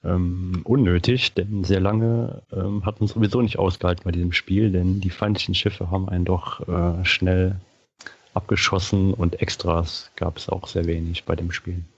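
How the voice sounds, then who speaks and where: A man with a very low voice reviews old video games as a podcast panelist in a casual style. The sound is dry and close, though slightly dull and band-limited. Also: level tone, moderate pace, no room sound.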